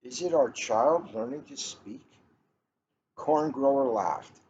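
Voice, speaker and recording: Middle-aged male reader, slow and deliberate, casual storybook delivery, dull noisy recording. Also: mid-range voice, darkish tone. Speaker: police officer reading a picture book aloud. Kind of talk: casual chat and storybook read-aloud. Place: coffee shop, indoors. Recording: muffled, noisy room recording.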